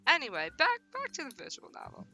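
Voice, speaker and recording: A woman hosting a casual game live stream, expressive and slangy in her banter. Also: high-pitched, fast, music in the background. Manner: slangy, expressive, prone to giggling. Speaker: streamer hosting a visual novel playthrough.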